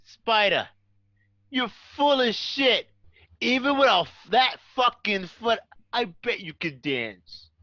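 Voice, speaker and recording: A woman playing a volatile, drunken male mobster in a radio drama, taunting and bullying in a forceful, drawn-out, profane way. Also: fairly high pitch, expressive, dry recording, muffled recording, full character voice. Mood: drunken mockery. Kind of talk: amateur radio drama acting.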